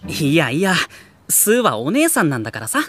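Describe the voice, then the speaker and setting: A man's voice: fairly high pitch, wildly swooping pitch, brisk, no room sound, full character voice. A man playing a mild-mannered, good-natured teenage boy in an anime audio drama, speaking casually. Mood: friendly. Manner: casual, gently exasperated.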